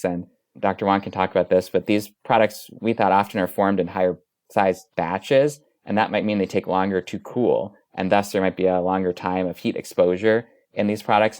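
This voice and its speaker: A man's voice: low voice, a little mellow, dry recording, clean studio sound. A man, a physician researcher, explaining findings methodically as an interview guest.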